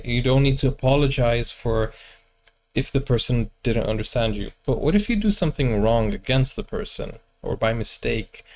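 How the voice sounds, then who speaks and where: Gravelly-voiced man hosting a reaction video. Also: medium pitch. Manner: chatty, questioning, thinks aloud. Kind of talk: casual reaction commentary.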